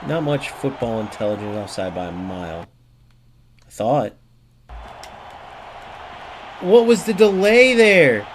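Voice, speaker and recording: A man's voice: mid-range voice, big pitch swings, slow, long pauses. A man streaming a football game, commentating live with profane, ranting trash-talk about his opponents. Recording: clear background noise.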